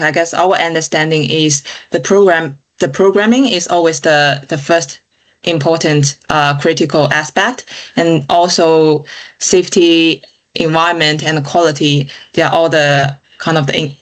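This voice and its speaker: A woman's voice: sits low, fairly even intonation, moderate pace. A woman from China, a podcast guest speaking thoughtfully and often saying "you know" and "kind of". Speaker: guest, digital engineer. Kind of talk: casual interview chat.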